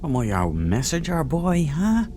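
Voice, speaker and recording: A man's voice: fairly high pitch, huge pitch swings, a little slow, warm tone. A man giving casual, playful let's play commentary with chatty, joking asides. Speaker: gaming host. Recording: clear background noise under voice. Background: game ambience.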